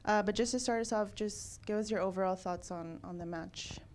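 A woman moderating a press conference, introducing the coach and calling on questions in a brisk, polite way. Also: medium pitch, a little mellow, a little hiss or hum. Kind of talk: brief press conference hosting.

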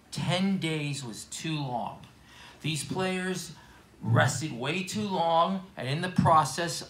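A middle-aged man holds a handheld microphone at a restaurant table and builds his argument point by point in an earnest tone. His voice sits slightly high and bright, and the room adds a noticeable echo. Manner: earnest, declarative, lists points. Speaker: guest co-host. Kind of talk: casual sports talk show. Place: small restaurant dining room, seated at a table. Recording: echoey room.

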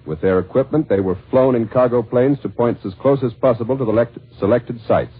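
A man's voice: mid-range voice, fairly quick, breathy edge. An American man anchoring a scripted 1940s radio newscast. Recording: tinny narrow-band 1940s radio recording.

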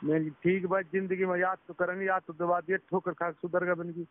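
High-voiced man on a phone line. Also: flat delivery, fairly quick, no echo. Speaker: phone caller, a driver recounting being scammed. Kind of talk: casual phone conversation, recounting an incident. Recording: tinny phone call recording.

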